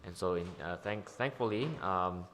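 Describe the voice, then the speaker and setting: A man interpreting a sermon into English line by line, often hesitating with ums and uhs. His voice sits very low. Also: normal speed, a bit bright, a little hiss or hum. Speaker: church interpreter.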